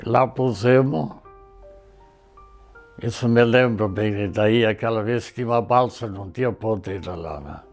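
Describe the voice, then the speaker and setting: A man's voice: on the low side, gravelly. Rambling ninety-year-old man reminiscing. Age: 90s. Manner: rambling, slow recollection full of filler tags. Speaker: interviewee. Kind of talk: documentary interview reminiscence.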